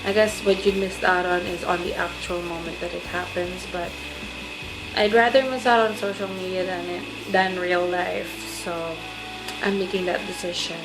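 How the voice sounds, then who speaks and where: A woman's voice: fairly low pitch, steady tone, normal speed, over music, rough recording. A young woman from the Philippines vlogging to her phone camera late at night, sleepy and rambling with lots of fillers. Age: young adult. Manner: rambling, hesitant with many fillers. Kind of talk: casual webcam vlog.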